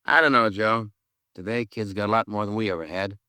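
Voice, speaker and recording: An actor playing a chatty, grumbling police detective partner in a 1950s radio crime drama, conversational and folksy. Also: male voice, on the low side, steady tone, fairly quick, bright, forceful tone, clean tone, no room sound, clean studio sound.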